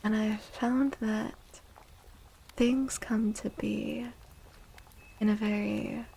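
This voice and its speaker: A woman speaking softly and soothingly in a whispery, breathy voice, as an ASMR livestream host. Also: medium pitch, steady tone, slight background noise, poor audio. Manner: gentle, soothing, unhurried. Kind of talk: soft-spoken ASMR, tarot reading and chatting with viewers.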